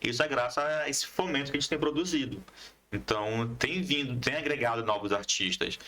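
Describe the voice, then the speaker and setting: A man's voice: medium pitch, a little fast, sharp tone. A man talking casually as an interview guest, explaining things with enthusiasm. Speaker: podcast guest, comics collective member and teacher.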